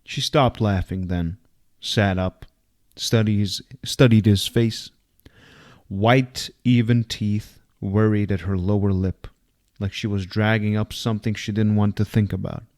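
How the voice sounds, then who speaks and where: Man, low pitch, unhurried pace, dramatic fiction narration, expressive delivery. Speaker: reader. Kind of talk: dramatic audiobook-style narration.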